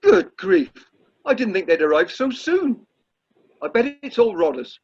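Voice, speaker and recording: A pompous, flustered British club chairman acted by a man, with a very high male voice and a stammering, fussy way of talking. The radio play has muffled video call sound. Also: sing-song, unhurried, darkish tone, slightly rough, full character voice. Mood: bustling, welcoming. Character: self-important, flustered drama society chairman. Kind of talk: amateur radio play acting.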